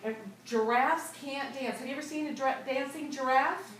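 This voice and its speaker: A woman reading a picture book expressively to young children, pausing to ask them questions and echo their answers. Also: sits high. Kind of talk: expressive storybook read-aloud with questions to children. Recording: echoey room, distant mic, noisy background.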